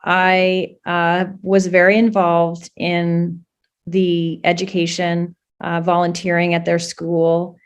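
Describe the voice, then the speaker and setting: Low-voiced woman candidate. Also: completely flat delivery, takes it slowly, clear, resonant tone, highs cut off. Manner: conversational, earnest, many fillers. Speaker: political candidate guest. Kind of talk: casual interview answers.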